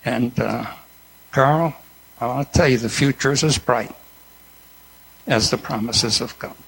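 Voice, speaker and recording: A man preaching a commissioning address, stressing key phrases with deliberate emphasis. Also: medium pitch, gravelly, fairly bright tone, slightly rough, low-quality recording.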